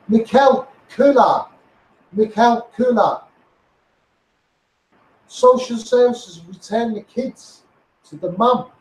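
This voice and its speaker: High-pitched Scouse man ranting through a megaphone. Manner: repetitive, emphatic declaiming. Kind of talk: impassioned monologue rant. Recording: echoey, distant, noisy megaphone recording.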